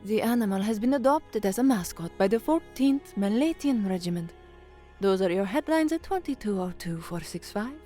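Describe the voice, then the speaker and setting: An actress plays a young working woman from the slums in an audio drama. She is earnest and anxious, with a mid-range, introspective voice and measured delivery. Faint music sits behind her. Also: medium pitch, expressive, warm tone, lightly acted. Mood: bright, professional. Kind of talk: first-person dramatic narration.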